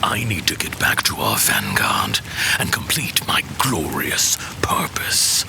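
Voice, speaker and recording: Cavernous, gravelly fantasy villain. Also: male voice, huge pitch swings, whispered, full character voice. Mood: sly, persuasive. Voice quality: very deep, gravelly voice. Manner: slow, threatening, persuasive. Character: menacing, scheming fallen villain, commanding and imperious. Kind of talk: fantasy audio drama acting.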